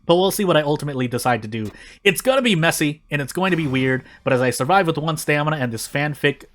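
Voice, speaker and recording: A man's voice: fairly high pitch, animated, fast talker, a bit bright. A man hosting a gaming channel, giving casual, rambling gameplay commentary with self-deprecating asides.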